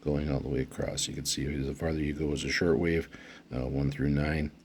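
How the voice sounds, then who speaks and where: A man giving unscripted unboxing commentary as a product reviewer, speaking haltingly with frequent pauses mid-phrase. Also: rumbling low, flat delivery, a little mellow, slight background noise.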